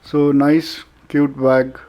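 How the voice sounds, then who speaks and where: Slow, flat-toned Indian man reviewing gear. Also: medium pitch, dull audio. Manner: halting, hesitant delivery with frequent filler pauses. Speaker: product reviewer. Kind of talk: casual unboxing narration.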